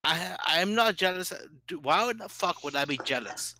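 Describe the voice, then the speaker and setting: A man's voice: high-pitched, expressive, moderate pace, gravelly, a loud crowd. A man in a heated online voice-chat argument, aggressive and insulting, swearing constantly. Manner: aggressive, insulting, heavily profane. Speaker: debate stream participant.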